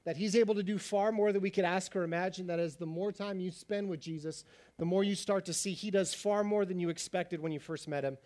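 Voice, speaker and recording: A man preaching a sermon as pastor, talking fast and animated, his voice slightly raspy. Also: sits high.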